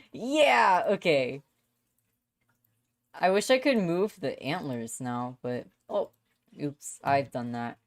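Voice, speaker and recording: Low-pitched, giggly woman streamer. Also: very slow, long pauses. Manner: giggly, excitable, bursts into squeals. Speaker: streamer host. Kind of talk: casual livestream chat.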